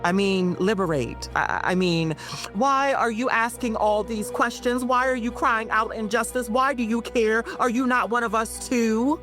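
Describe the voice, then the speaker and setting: Woman voicing a falsely soothing colonizer, raised pitch, condescending, self-correcting, faint music behind. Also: measured pace, fairly bright tone. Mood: sneering. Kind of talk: spoken-word poetry performance.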